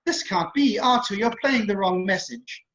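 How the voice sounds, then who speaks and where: A man's voice: high voice, moderate pace, fairly bright tone. A man voicing a fussy, anxious, polite protocol droid with prim, formal diction in an online table read. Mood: fretful. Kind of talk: amateur table read over video call. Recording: muffled video-call audio.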